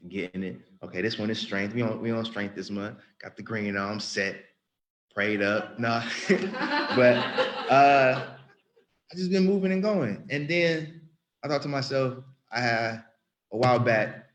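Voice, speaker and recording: A man's voice: on the low side, a bit bright. A man around thirty giving an earnest, rambling spoken testimony in church, often restarting and repeating himself. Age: about 30.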